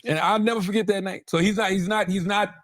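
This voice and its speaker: A man with a voice on the higher side talks in a lively, casual group discussion. He tells things emphatically, doubles back on phrases and swears easily, recorded close and very dry. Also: fairly quick, no echo. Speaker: show host.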